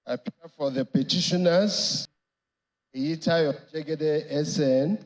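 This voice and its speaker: Nigerian guy lawyer addressing the judges, really slow n ceremonious, voice a bit high. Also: low-quality recording. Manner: deferential, measured, ceremonious. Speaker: lead counsel for petitioners in court. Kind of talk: formal courtroom announcement of appearances. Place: courtroom.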